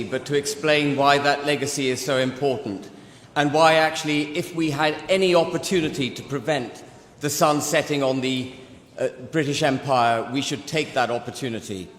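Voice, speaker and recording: A man in his sixties, from Pakistan, giving a forceful, rhetorical debate speech with emphatic repetition. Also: medium pitch. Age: 60s. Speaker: debate speaker. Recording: long hall reverb.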